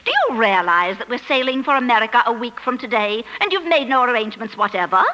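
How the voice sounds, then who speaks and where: A scornful, nagging middle-aged wife in an old radio play. Her voice is highish and sharp, swooping widely as she briskly lays down orders. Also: big pitch swings, poor audio. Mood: scornful, indignant. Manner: domineering, brisk, sarcastic. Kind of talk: 1940s radio drama acting. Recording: old 1940s radio broadcast.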